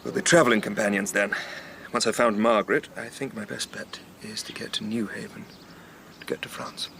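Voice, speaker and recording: A man acting a self-absorbed, dispirited, educated writer in a period radio drama, speaking in a hesitant, musing way with a gravelly voice. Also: lowish voice, fairly even intonation, a little fast, pushed and bright, slight background noise. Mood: uneasy, hesitant.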